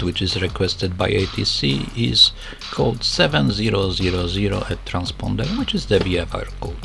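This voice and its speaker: A man's voice: low-pitched, creaky. A man giving an explanatory voice-over about flying, as a student pilot vlogger. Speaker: student pilot vlogger narrating own flight.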